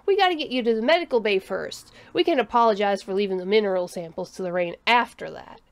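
A woman's voice: mid-range voice, expressive, fast, lightly acted. A woman voicing a tired, stubborn human man explorer with a folksy, defensive manner in a storybook narration. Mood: casual, tired.

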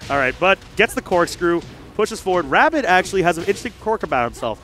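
A young man commentating a live fighting game tournament, joking and getting loud whenever the play heats up. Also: high voice, quick pace, faint music behind. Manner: excitable, jokey, gets loud when hyped. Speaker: esports commentator. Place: large hangar hall at a gaming event.

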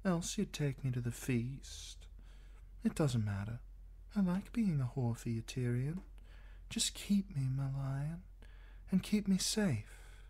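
A man's voice: medium pitch, lively intonation, takes it slowly, pauses often, a little mellow, slightly rough, voice pushed up. A man voicing a sulky young woman who pleads with her lover. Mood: tender.

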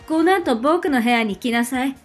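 A woman's voice: sits high, expressive, moderate pace, faint music behind. A woman voicing an eccentric, lewd senior schoolgirl with pompous, boyish, commanding phrasing in a hobby romance voice drama. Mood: bossy.

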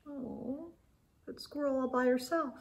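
A middle-aged woman reading a picture book aloud to young children, expressively, with dramatic pauses and exclaimed asides. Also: sits high, gravelly. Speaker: children's storytime host. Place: small indoor room with bookshelves.

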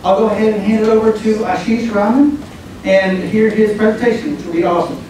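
A high-voiced man runs through event announcements in a casual way, stopping and restarting often. He is recorded from far back in a big, very echoey conference room. A clear noise floor sits under his voice. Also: fairly even intonation, a little fast, darkish tone. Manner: casual, many fillers and restarts. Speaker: event organizer. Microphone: far camera at back of room.

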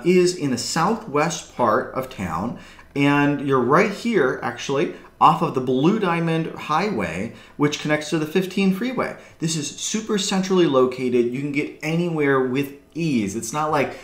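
Upbeat man broker in echoey room. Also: medium pitch. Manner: enthusiastic, chatty, conversational. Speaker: real estate broker. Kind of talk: upbeat property walkthrough video. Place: small room indoors.

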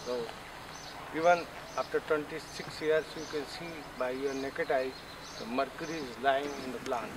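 A man's voice: mid-range voice, normal speed, distant mic. A middle-aged man, speaking as a guide and explaining things on site. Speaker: guide at a derelict factory site. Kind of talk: explanatory on-site tour talk. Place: outdoors at an abandoned industrial site. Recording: clear outdoor ambient noise.

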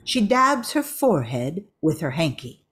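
An older woman reads scripted narration for a radio drama. Her voice sits fairly low, and she goes very slowly. Each phrase is deliberate and measured. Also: very animated, very noisy.

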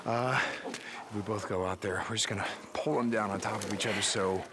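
An actor playing a gruff, sardonic male drifter in a video game, terse and muttering, with a gravelly voice. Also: on the low side, steady tone, fairly quick, noisy background, close to an everyday voice. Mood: urgent, confident. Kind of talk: video game cutscene acting.

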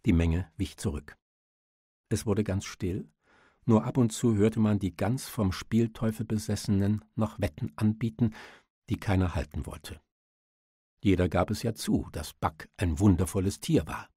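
A man's voice: on the low side, unhurried, dark tone, slightly rough, dry recording, studio quality. A man reading a literary audiobook as narrator, in a dramatic storytelling style.

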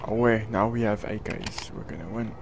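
A man's voice: fairly low pitch, normal speed, over music. A man in online game voice chat, bossing his teammates around and calling out orders. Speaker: player in online match. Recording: gameplay clip with loud gunfire.

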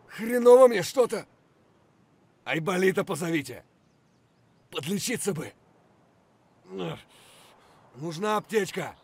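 Casting: aggressive game soldier, very high strained pitch, wildly swinging delivery, profane battlefield barks. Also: male voice, slightly rough, heavily acted. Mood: pained, weary. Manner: barking, profane. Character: aggressive foul-mouthed male soldier under fire, urgent and commanding. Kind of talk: shouted military game voice lines.

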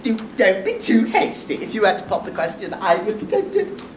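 A woman's voice: mid-range voice, very animated, normal speed, reverberant, natural voice. Eager, coquettish pantomime role played by a woman, theatrical, distant with faint noise. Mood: coy. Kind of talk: pantomime stage acting.

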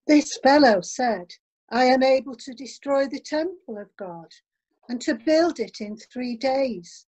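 Woman reading accusing bystander in a bible story, highish voice. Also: expressive, measured pace, a little mellow, dull audio. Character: accusing witness, servant girl or bystander. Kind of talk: dramatic scripture reading.

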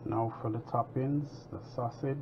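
A man's voice: mid-range voice, fairly even intonation, moderate pace, creaky, muffled recording, background noise. A man from Jamaica hosting a home cooking show, chatting casually and proudly as he makes a pizza in his kitchen.

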